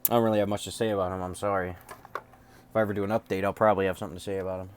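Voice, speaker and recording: Low-voiced man rambling casually. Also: little pitch movement, very fast, a little hiss or hum. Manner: rambling, profane, offhand. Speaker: music collector showing off cassette tapes. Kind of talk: casual rambling collection showcase.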